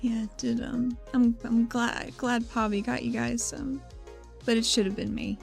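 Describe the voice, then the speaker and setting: A woman's voice: fairly high pitch, level tone, measured pace, vocal fry, soft background music. A woman playing a tabletop game, improvising in a casual, somewhat tentative way. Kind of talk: casual improvised role-play.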